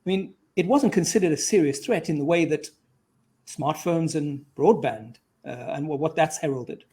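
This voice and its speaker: A man with a slightly high voice hosts an interview. He speaks conversationally and discursively, building up his questions with asides and qualifications. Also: normal speed, dark tone. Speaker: interviewer, host.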